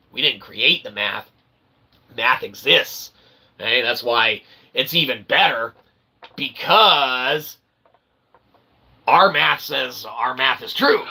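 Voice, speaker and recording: Gravelly man ranting with loud outbursts. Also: medium pitch, a little hiss or hum, rough recording. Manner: jumps between mutters and sudden loud outbursts; peppers talk with "okay?" and "literally". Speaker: self-published author and channel host. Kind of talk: rambling monologue to camera.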